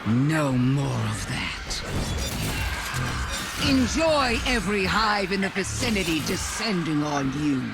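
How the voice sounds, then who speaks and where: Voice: mid-range voice, very animated, takes it slowly, creaky, loud music behind the voice, exaggerated voice. An actor playing a scheming alien witch queen in a video game, condescending, mocking and menacing. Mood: cold, threatening. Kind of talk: dramatic video game acting.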